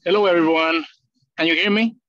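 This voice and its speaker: A man's voice: a little high, steady tone, fairly quick, a bit bright, no echo, lo-fi sound. A man calling in to a video meeting while driving, introducing himself in a friendly way. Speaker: financial analyst. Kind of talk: self-introduction on a video call.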